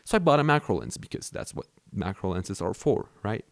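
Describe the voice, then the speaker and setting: A man's voice: on the low side, very animated, a little fast, creaky, no echo. A young man from Mexico whose first language is Spanish, rambling casually about his camera gear with many fillers and restarts. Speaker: vlogger.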